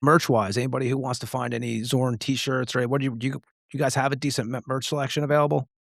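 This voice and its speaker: A man's voice: medium pitch, level tone, quick pace, gravelly, fairly bright tone, no room sound, clean studio sound. A man hosting a casual interview podcast, talking informally and with some swearing. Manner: informal, profane. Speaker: podcast host.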